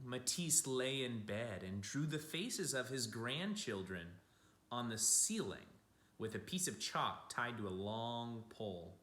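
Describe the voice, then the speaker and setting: Male storytelling teacher, bright voice, very lively pitch, children's picture-book reading, simple phrasing for young listeners. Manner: addresses young listeners with simple asides and questions. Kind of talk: expressive children's read-aloud. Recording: roomy, echoing room sound.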